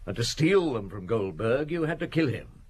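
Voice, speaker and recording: Husky, commanding police chief inspector. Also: male voice, mid-range voice, very animated, moderate pace, darkish tone. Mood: brisk interrogation. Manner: probing, authoritative, wry. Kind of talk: radio murder mystery acting.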